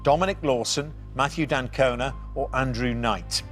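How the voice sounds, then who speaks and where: A man hosting a TV quiz show in a jovial, upbeat way, joking with the contestants between questions. He reads each question and its answer options slowly and clearly, with some quiet music under the show. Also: mid-range voice. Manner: jovial, reads questions deliberately, chuckles at his own puns. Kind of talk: TV quiz hosting, scripted intro and banter.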